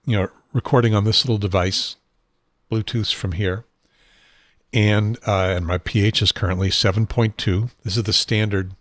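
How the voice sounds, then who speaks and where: A man hosting his own talk show from a home studio desk, rambling and self-deprecating, with a husky, unsteady voice and frequent throat clearing. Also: lowish voice, dry recording. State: has a probe in his nose. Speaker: podcast host.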